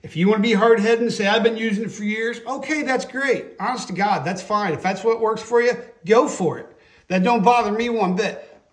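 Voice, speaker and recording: Male, very high-pitched voice. Folksy, emphatic how-to host, excitable and casual, talking through a hands-on demo. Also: moderate pace. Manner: folksy, emphatic, repeatedly checks with "okay?" and "all right?". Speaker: host, chainsaw sharpening instructor. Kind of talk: casual hands-on tutorial to camera. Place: indoor workshop.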